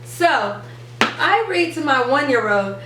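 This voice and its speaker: High-voiced woman teaching, roomy sound. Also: lively intonation, slow pace. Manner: clear step-by-step explaining, stressing key words. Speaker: reading teacher and channel host. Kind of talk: instructional how-to presentation. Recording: roomy, distant mic, faint noise.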